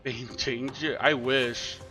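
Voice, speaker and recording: A man's voice: mid-range voice, fairly even intonation, takes it slowly, pushed and bright, faint music behind. A man co-streaming a match broadcast, chatting casually, swearing and joking between laughs. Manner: profane, joking, laughs often. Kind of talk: casual chat over a broadcast.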